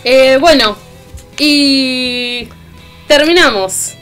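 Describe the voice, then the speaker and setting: A woman's voice: high voice, very slow, a bit bright. A woman with an Argentine accent, speaking as a columnist in a radio discussion, reading out and commenting. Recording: music playing behind the voice. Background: music.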